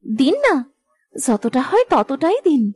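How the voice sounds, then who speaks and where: A very high-voiced, dutiful village girl from a cartoon moral tale. She speaks earnestly and gently, with lively, swooping intonation and a hopeful, pleading sweetness. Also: slow, full character voice.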